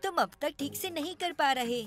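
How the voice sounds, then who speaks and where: A woman voicing a kindly, earnest little boy superhero in a children's cartoon dub, gentle and encouraging in manner. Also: high voice, moderate pace, lightly acted. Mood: friendly, playful. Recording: loud music behind the voice.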